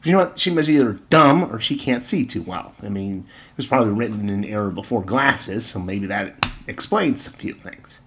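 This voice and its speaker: A man's voice: on the low side, animated, normal speed, fairly bright tone, slight background noise. A man giving informal opinion commentary and retelling stories, with exasperated, sarcastic emphasis and rhetorical questions. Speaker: video commentator.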